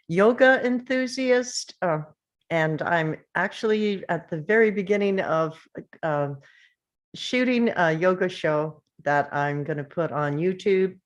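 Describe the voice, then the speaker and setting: A woman's voice: lowish voice, slow pace. A woman, an actress, casually introducing herself over a video call. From Central Alberta, Canada.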